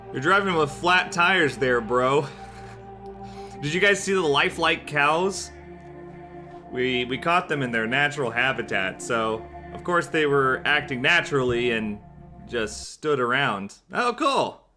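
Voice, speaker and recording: A man's voice: mid-range voice, lively intonation, a little slow, bright, forceful tone, faint music behind. A man giving sarcastic, joking let's play commentary while playing a game. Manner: sarcastic, dry jokes about the game. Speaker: game streamer.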